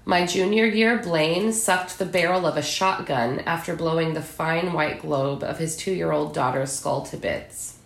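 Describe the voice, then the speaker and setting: A woman's voice: low-pitched, unhurried, creaky, reverberant. An American woman from southern Illinois, an author speaking as a podcast guest. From southern Illinois, United States. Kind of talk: literary reading and interview talk.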